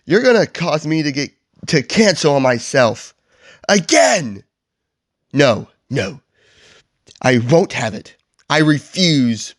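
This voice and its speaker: A man's voice: on the low side, level tone, slow, vocal fry, no room sound, studio quality. Man putting on a huffy, boastful green monster. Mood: indignant, menacing. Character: grumpy, boastful, indignant big green hairy male monster.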